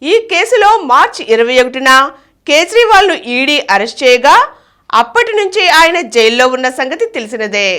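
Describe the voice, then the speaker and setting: High, animated newsreader woman. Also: fairly quick. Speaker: news reader. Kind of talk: scripted news bulletin reading.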